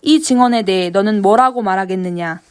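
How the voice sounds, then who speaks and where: A woman reading the words of a high priest in a Bible passage, stern and accusing, in her own voice. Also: a little fast, smooth, close to an everyday voice. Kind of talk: scripture reading.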